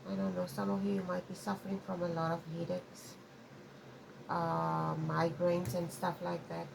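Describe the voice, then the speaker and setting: A woman's voice: fairly low pitch, flat delivery, normal speed, gravelly, background noise. A woman whose first language is Afrikaans, giving a rambling intuitive tarot reading and often checking in with "okay?". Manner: rambling, many restarts and frequent "okay?". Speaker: tarot reader.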